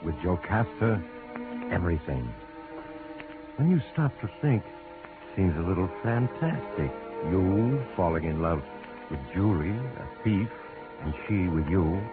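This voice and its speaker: An actor playing an ominous, knowing narrator, deliberate and insinuating, in old-time radio suspense style. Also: male voice, low voice, animated. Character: ominous knowing narrator addressing the protagonist as you. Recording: dull vintage radio recording with music.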